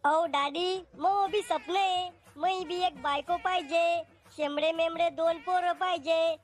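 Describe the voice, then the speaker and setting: A man's voice: very high-pitched, steady tone, a little slow. A man voicing a whiny, petulant young bachelor desperate to marry, in a comedy cartoon. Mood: pleading. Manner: pleading, petulant. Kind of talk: Marathi comedy cartoon acting.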